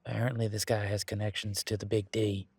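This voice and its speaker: A man playing a laid-back, wry werewolf in an intimate one-sided audio roleplay, talking in a casual, folksy, teasing way with a husky voice. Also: fairly low pitch, flat delivery, fairly quick, dry recording. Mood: gossipy, wry.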